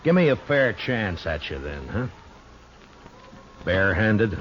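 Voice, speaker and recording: An actor playing a steady, grim marshal in a 1950s American radio western, measured, grave and dry. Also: male voice, on the low side, a little hiss or hum, heavily acted. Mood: probing, challenging. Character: steady, grim frontier marshal narrating his own story. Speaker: lead actor and narrator. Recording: dull old radio recording. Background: music.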